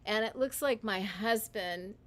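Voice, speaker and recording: A woman talking alone on a livestream from her home, rambling with halting restarts. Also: mid-range voice, a bit bright. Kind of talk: casual livestream monologue.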